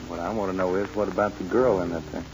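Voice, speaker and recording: An actor playing an inquisitive, easygoing cowboy sidekick in a 1940s radio western. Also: male voice, low voice, fairly even intonation, fast, darkish tone, soft background music. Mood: curious. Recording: muffled, noisy old radio recording.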